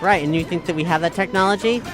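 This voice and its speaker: A woman's voice: on the low side, fast talker. Dry-talking woman with music behind. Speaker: player. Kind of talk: casual improvised tabletop role-play chat.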